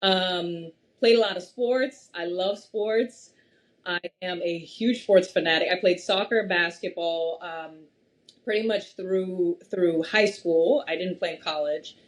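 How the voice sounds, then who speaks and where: A young woman from Massachusetts, a podcast guest, talking at length in an animated, storytelling way. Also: fairly low pitch, slow. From Massachusetts, USA. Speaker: podcast guest, wealth strategist. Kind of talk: casual interview chat.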